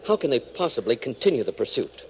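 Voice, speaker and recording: A man's voice: mid-range voice, big pitch swings, fast, slight background noise, poor audio. An American man announcing and narrating a 1940s radio serial in a dramatic, emphatic scripted style. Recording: old 1940s radio transcription.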